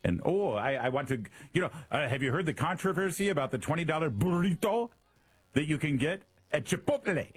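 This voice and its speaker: Sarcastic middle-aged Midwestern man, husky voice. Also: on the high side, animated, brisk. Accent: Midwestern American. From American Midwest. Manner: sarcastic, mocking exaggerated pronunciations, repeats words when starting. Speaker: radio and podcast host. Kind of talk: opinionated political talk show monologue.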